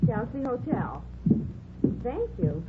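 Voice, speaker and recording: A woman's voice: a little high, very animated, takes it slowly, heavy background noise. A woman playing a courteous, faintly officious hotel desk clerk in a 1940s radio drama, with formal, precise speech. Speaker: actress in a radio detective series. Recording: tinny 1949 radio recording, faint music.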